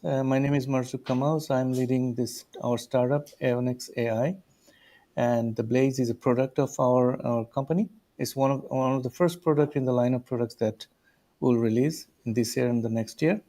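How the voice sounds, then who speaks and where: A man's voice: mid-range voice, dark tone. A man from Bangladesh, answering interview questions as a startup founder over a video call, restarting phrases and saying "uh" often. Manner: hesitant, restarts phrases, many "uh"s.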